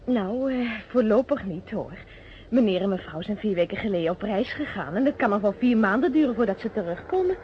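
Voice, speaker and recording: A woman's voice: medium pitch, animated, a little fast, lightly acted. A woman playing a polite, chatty, deferential housemaid at the door in a radio play. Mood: politely apologetic. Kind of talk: Dutch radio play dialogue. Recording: dull, noisy old radio recording.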